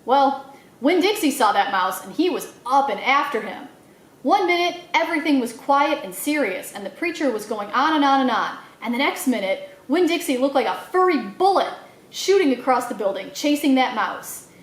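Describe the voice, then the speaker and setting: A woman reading a children's novel aloud in an expressive storytelling style, acting out the dialogue as she goes. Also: sits high, moderate pace, reverberant. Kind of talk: animated read-aloud narration for children.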